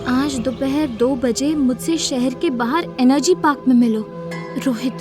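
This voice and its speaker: A troubled young college girl in an audio drama. Her voice is extremely high and earnest, full of wondering, with music clearly playing behind it. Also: measured pace. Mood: reading aloud.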